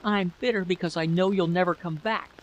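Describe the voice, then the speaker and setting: A man's voice: sits high, steady tone, brisk, dull audio, pitched above the performer's own voice, lightly acted. A man voicing an anxious, bitter wife in a story reading, pleading emphatically over a noisy recording. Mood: bitter, resentful. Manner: pleading, emphatic, repeating questions.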